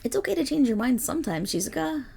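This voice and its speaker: Woman playing an excitable high-pitched dog girl. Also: quick pace, creaky, slightly rough, heavily acted. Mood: eager, affectionate. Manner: bubbly, eager, playful. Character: excitable affectionate dog girl, playful and silly. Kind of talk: ASMR roleplay acting.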